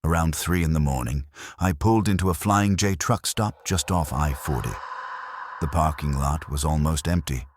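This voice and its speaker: A man's voice: a bass voice, moderate pace, background noise. A man giving a scripted first-person horror narration in a grave, suspenseful storytelling manner. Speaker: narrator of horror stories, posing as a trucker.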